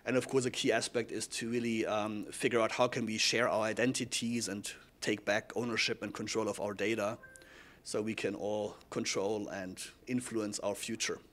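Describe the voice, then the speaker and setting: A man's voice: lowish voice, little pitch movement, normal speed, fairly bright tone. A man with a German accent presenting and explaining in a talk, often checking in with short questioning tags. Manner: frequent questioning tags like "yeah?" and "right?". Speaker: technology foundation speaker giving a presentation.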